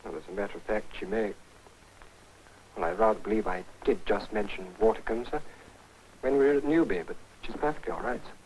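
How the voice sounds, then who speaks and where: An actor playing a deferential but defensive young English junior officer in a 1940s British film. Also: male voice, lowish voice, a little fast, darkish tone, slight background noise, close to an everyday voice, low-quality recording.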